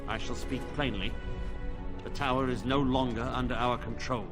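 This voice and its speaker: A man's voice: mid-range voice, fairly even intonation, normal speed, natural voice. A man playing a grave, stern older knight-commander in a video game cutscene, speaking formally and with solemn command. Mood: grim. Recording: loud music behind the voice.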